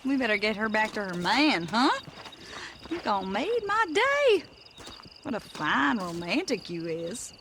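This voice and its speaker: Brassy, sardonic western frontier woman, drawl, slow and swinging widely in pitch, noisy outdoor backdrop. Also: very animated, fairly bright tone. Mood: mocking. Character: brassy, mocking, hard-bitten Old West frontier woman. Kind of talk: video game voice acting.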